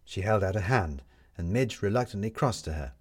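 Husky, low-voiced man narrating a story. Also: animated, a little fast.

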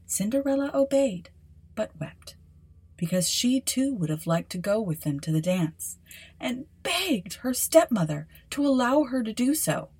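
A woman's voice: a little high, sing-song, a little slow, a little mellow, slightly rough. A woman podcast host reading a fairy tale aloud as dramatic scripted narration.

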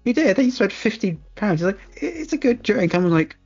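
A man's voice: sits high, sing-song, fairly quick, darkish tone, husky, lo-fi sound, soft background music. A man co-hosting a casual podcast, telling laid-back stories and swearing casually. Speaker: podcast co-host. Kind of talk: casual chat.

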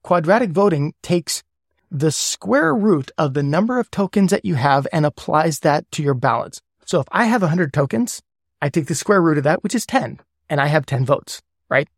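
A man with a somewhat high voice explains ideas step by step as an interview guest. He works through numbers and checks in with "right?" as he goes. The sound is dry, with no room around it. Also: animated, normal speed, no room sound. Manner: walks through worked examples, checks in with "right?". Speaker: guest, governance lead.

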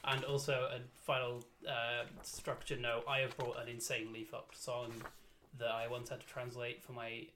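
A man's voice: mid-range voice, monotone, moderate pace, sharp tone, slight background noise. A man hosting a casual comedy chat. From Wales. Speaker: podcast host.

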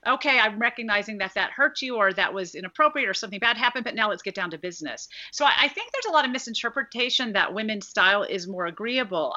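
Bright-voiced woman professor explaining. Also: mid-range voice, highs cut off. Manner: articulate, analytical, hedging with "I think". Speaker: guest expert, professor. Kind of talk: explanatory conversation.

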